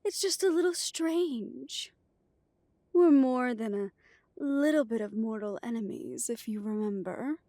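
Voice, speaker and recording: A haughty vampire matriarch voiced by a woman in an audio drama. She speaks very slowly in a fairly high voice, silky and condescending, every phrase measured. The sound is close and dry. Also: expressive, mellow, no echo. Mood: smooth, chiding. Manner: slow, measured, haughty delivery. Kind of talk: audio roleplay drama acting.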